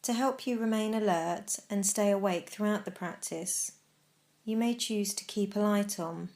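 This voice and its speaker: Woman guiding a meditation with measured phrasing. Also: mid-range voice, a little mellow. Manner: measured, instructional, with deliberate pauses. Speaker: meditation guide. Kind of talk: guided meditation narration.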